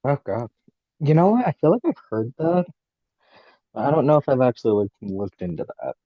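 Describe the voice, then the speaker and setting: A man chatting casually on a video call, easygoing and quick to laugh. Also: medium pitch, big pitch swings, no room sound. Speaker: game player. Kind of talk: casual chat. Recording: muffled lo-fi call audio.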